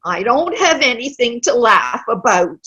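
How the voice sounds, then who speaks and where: A cranky elderly widow in a stage comedy reading, acted by a woman. Her voice is high, sharp and very animated. She complains bluntly, stubborn and dismissive, at an unhurried pace. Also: breathy edge, muffled recording, heavily acted. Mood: grumpy. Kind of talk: amateur play reading. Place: online video-call play reading.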